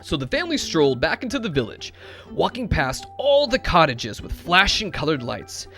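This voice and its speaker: A man giving an expressive, dramatic storybook narration. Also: a little high, normal speed, soft background music.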